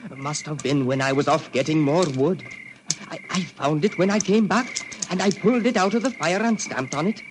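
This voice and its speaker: A man's voice: fairly high pitch, moderate pace, close to an everyday voice. An actor playing a simple-minded, childlike man who loves birds and bonfires, with wandering, repetitive talk, in an old radio drama. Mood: dreamy, innocent. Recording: noisy vintage radio recording.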